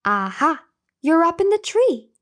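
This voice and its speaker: A woman's voice: very high-pitched, sing-song, a little slow, a bit bright, exaggerated voice. A woman voicing a big, hungry, sly tiger in a bedtime folktale, growling and coaxing. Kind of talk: bedtime folktale storybook reading.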